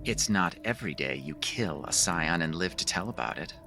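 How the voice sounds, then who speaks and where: Deep, brash rich trophy hunter. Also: male voice, expressive, normal speed, vocal fry. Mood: contemptuous. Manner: sarcastic, blunt, boastful. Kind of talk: audio drama acting.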